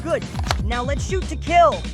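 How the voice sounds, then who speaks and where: A woman plays an earnest young boy squad leader with a high voice, giving orders in an animated comic dub. Music plays clearly behind the lines. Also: measured pace. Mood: fierce, commanding. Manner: commanding, earnest.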